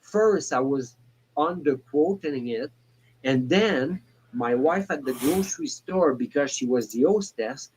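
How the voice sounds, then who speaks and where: A man in his forties calling in to a talk show, speaking in a halting way with many restarts and fillers. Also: medium pitch, expressive, darkish tone, slight background noise, rough recording. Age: 40s. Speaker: caller. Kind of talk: casual call-in chat.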